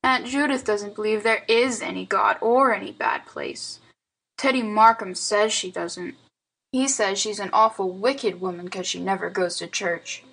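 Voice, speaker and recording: A woman's voice: medium pitch, animated, measured pace, vocal fry, fairly bright tone, slightly rough, full character voice, poor audio. A woman voicing a mischievous, earnest little boy in a storybook, with childish slang and plaintive reasoning. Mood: despondent, pondering. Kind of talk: storybook character dialogue.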